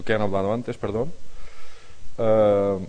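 A man with a Mallorcan Catalan accent moderates a youth football panel, reading introductions and posing questions. His voice sits a little low, and he slows down to speak carefully when addressing a guest. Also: level tone, dark tone, clean tone, highs cut off. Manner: introduces guests in Catalan, then switches to slow, careful Spanish for a foreign guest. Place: conference room.

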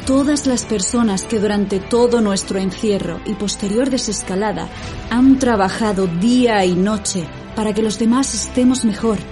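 A woman's voice: mid-range voice, moderate pace, over music, natural voice. A woman playing an opinionated fictional character in an audio drama. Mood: solemn, earnest. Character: opinionated female fictional character, partner of her writer.

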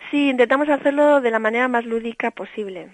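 A woman raised in Australia talks through her daily program in a patient, teacherly way, fond of small gentle words. Her voice sits a little high. The sound is close and dry, with almost no room around it. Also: fast, no echo, rough recording. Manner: explanatory, warm teacherly tone with diminutives. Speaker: early stimulation center director. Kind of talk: radio interview.

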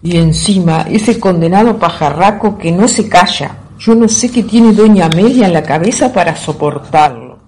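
A woman's voice: fairly low pitch, animated, moderate pace, few pauses, darkish tone, lightly acted. A woman in amateur radio theatre playing a stressed, irritable young man who grumbles in exasperation. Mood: stressed, irritated. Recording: audible background noise.

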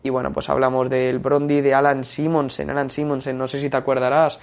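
Young Spaniard talking football in a flat voice. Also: male voice, medium pitch, fairly quick. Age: young adult. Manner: steady, with frequent "eh" fillers. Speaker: football segment contributor. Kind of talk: casual radio-style football talk.

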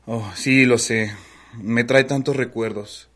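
A man's voice: medium pitch, steady tone, slow. A man acting a tender, hesitant middle-aged husband in mourning in a radio soap opera. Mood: tender, wistful. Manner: soft-hearted, hesitant, consoling. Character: gentle, apologetic grieving middle-aged husband.

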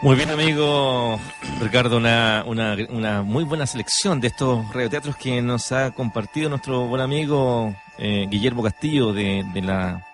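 A man's voice: mid-range voice, normal speed. A man hosting a radio show in unscripted chat, hesitant and often searching for words. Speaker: radio host. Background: faint music.

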